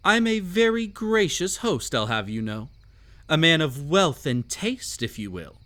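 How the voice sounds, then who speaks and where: Man playing a slow, teasing haunted-house host. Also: a little high, big pitch swings, sharp tone, full character voice. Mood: playful, amused. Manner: teasing, theatrical, drawn-out phrasing. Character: whimsical, mysterious, sinister male host of a haunted estate. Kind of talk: scripted roleplay audio drama.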